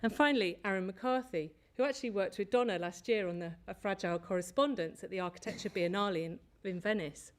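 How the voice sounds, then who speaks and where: A woman of middle pitch gives a conference presentation from a lectern. She reads from prepared notes at a measured pace. She corrects the odd slip as she goes. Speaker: conference speaker, lecturer. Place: lecture hall.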